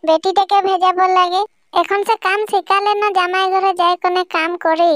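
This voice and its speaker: A woman doing a shrill nagging village mother. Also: steady tone, normal speed, a bit bright, no room sound, studio quality. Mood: worried grumbling. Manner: scolding, grumbling.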